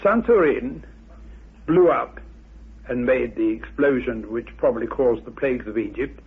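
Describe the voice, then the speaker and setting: A man speaking in an unscripted interview, formal, deliberate and emphatic, in long halting sentences. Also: mid-range voice, animated, breathy edge. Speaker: interviewed researcher. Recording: muffled, narrow-band old radio interview.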